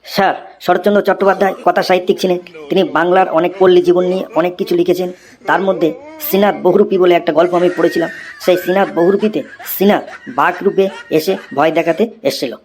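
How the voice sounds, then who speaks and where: A man's voice: high-pitched, fairly even intonation, fast, a little mellow, close to an everyday voice. A man voicing a cheeky, unflappable schoolboy in a comedy cartoon, reciting essays by rote. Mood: earnest reciting.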